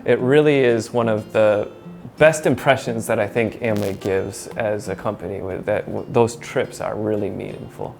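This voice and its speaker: A man hosting an interview, upbeat and quick to laugh, with many encouraging backchannels. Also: fairly low pitch, fairly even intonation, vocal fry, darkish tone, soft background music. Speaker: host. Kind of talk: casual interview.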